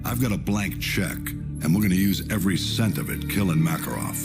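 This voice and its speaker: Deep, solemn American general. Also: male voice, brisk. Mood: resolute. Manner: solemn, commanding. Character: resolute, vengeful American general addressing his men. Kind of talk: dramatic video game voice acting. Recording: loud music under the voice.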